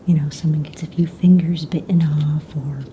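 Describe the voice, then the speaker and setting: A woman playing a calm, businesslike young fantasy egg hunt official in a breathy, soft-spoken ASMR roleplay, casual and matter-of-fact. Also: low-pitched, normal speed, noisy background, natural voice. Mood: calm, polite. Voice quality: breathy, whispery.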